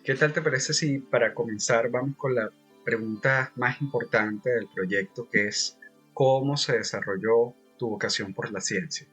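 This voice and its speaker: A man's voice: medium pitch, unhurried, a bit bright, slightly rough, soft background music. A man hosting an interview, politely introducing the guest and asking questions. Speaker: interview host.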